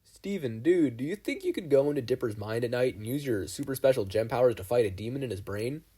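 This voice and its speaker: Give me a man voicing a friendly laid-back young guy, husky and casual. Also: mid-range voice, expressive, fast talker, warm tone. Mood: curious. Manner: casual, slangy.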